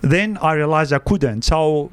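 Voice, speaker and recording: A man in his fifties, born in Egypt with Greek as his first language, answering interview questions in halting English full of "uh" fillers. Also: on the high side, smooth, dry recording. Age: 50s. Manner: halting, frequent "uh" fillers, non-native grammar. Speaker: guest, wealth adviser. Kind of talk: podcast interview.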